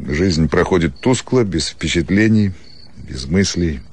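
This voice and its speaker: A man acting a weary, disillusioned provincial doctor in a radio play, grumbling and sighing through drawn-out complaints. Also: low-pitched, lively intonation, darkish tone, slightly rough, full character voice. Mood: weary, bitter.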